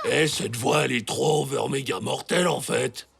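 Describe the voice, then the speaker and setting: A man voicing a cocky ten-year-old cartoon schoolboy with a hoarse, deepened voice, boastful and theatrical. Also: moderate pace. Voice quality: gravelly, hoarse. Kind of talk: animated cartoon acting. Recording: cartoon soundtrack with loud music.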